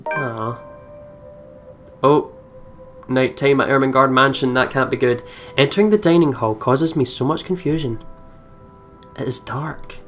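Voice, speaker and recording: Female let's player, very low register, expressive story reading mixed with giggly commentary, faint game music. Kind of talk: gaming commentary, reading story narration aloud.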